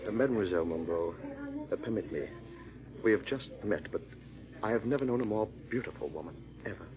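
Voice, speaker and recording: A man's voice: on the low side, lively intonation, a little fast, lightly acted. A man playing a suave, forward French nobleman in a 1940s radio drama, courtly and seductive in his talk. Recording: dull, muffled old radio recording.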